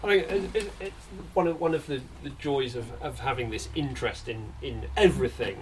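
A man hosting a live conversation, starting hesitantly and then talking quickly, recorded a little away from the mic with faint noise. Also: medium pitch, darkish tone, distant mic, rough recording. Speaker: host, interviewer. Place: live bookshop event.

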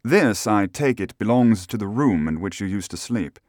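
A man voicing a shrewd, courteous gentleman detective with a brisk, authoritative manner in an audiobook. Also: fairly low pitch, animated, dry recording, clean studio sound, natural voice. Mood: brisk, probing.

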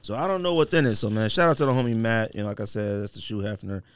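A man's voice: on the low side, quick pace, background noise. A man hosting a casual podcast chat, joking and exclaiming in slang, close on a studio microphone. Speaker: podcast host.

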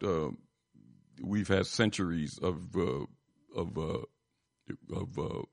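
Very deep-voiced, gravelly male interviewer. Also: no echo, studio quality. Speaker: talk show host. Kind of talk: interview questioning.

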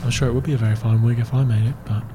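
A man's voice: mid-range voice, steady tone, very fast, natural voice. A man playing a prickly, self-satisfied hairdresser in an improvised comic audio drama, drawling soothingly with condescension. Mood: condescending. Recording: music behind the voice.

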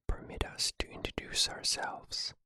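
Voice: a little slow, sharp tone. A reader voicing a proud, theatrical thin bandit man from Italy in a grandiose, courtly whisper for a storybook. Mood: proud, boastful.

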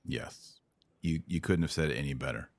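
Middle aged guy hosting a podcast, low voice, real dry sound, chatty and informal. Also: normal speed, dry recording. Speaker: podcast host. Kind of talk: casual interview talk.

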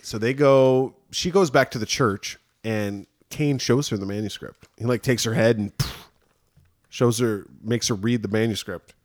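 A man co-hosting a movie podcast, retelling a film's plot in animated casual chat, acting out the characters' lines. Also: medium pitch, normal speed, no room sound, studio quality. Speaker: podcast co-host.

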